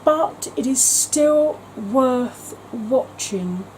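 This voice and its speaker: A woman's voice: sits high, expressive, drawn-out words, a little mellow, slight background noise, poor audio. A woman talking in a chatty, gushing way as a beauty vlogger, with frequent ums. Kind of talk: casual vlog chat.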